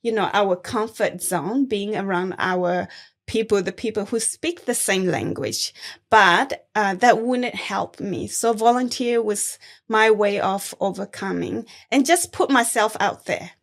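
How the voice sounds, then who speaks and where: A young woman from Thailand, a Karen refugee, speaking slowly and reflectively as a guest in an interview. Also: mid-range voice, gravelly. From born in Thailand, Karen refugee. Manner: slow, measured and reflective, with gentle laughs. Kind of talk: conversational interview.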